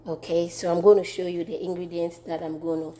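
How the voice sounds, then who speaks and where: A woman with a Ghanaian accent demonstrating a home recipe in her kitchen, relaxed and repeating herself as she works. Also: lowish voice, fairly quick. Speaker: home cooking vlogger. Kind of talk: casual cooking demonstration.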